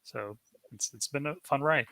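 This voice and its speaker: A man's voice: medium pitch, normal speed, vocal fry, sharp tone, dry recording. A man from Afghanistan speaking as a guest in a casual interview, introducing himself with frequent hesitations. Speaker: guest, prosthetics assistant and lab lead.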